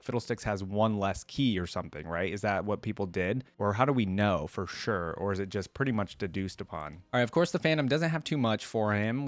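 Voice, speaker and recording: A man's voice: mid-range voice, dry recording. A man hosting a reaction video, chatting casually and reading aloud with comments of his own.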